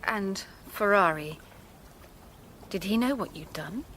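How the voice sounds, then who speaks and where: A woman's voice: mid-range voice, animated, slow, fairly bright tone, a little hiss or hum. An actress playing a determined, grave young woman in a radio drama, composed and probing. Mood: sorrowful, probing.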